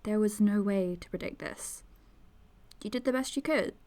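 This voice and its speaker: A woman's voice: mid-range voice, normal speed, a little mellow, close to an everyday voice. An actress playing a supportive, chatty young woman in a naturalistic audio drama, speaking informally and reassuringly. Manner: informal, reassuring, hesitant phrasing.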